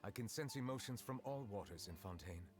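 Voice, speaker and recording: An actor playing a dignified, reserved dragon judge in a video game, speaking formally and with restraint. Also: male voice, mid-range voice, animated, fairly quick, soft background music, natural voice. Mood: reserved, measured.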